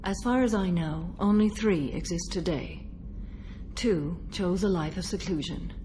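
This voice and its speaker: An actress playing a stoic, centuries-old alien warrior woman bound by a code in a video game, speaking in a measured, formal, solemn manner. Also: fairly low pitch, warm tone, slight background noise, natural voice. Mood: solemn, stern. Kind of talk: video game dialogue acting.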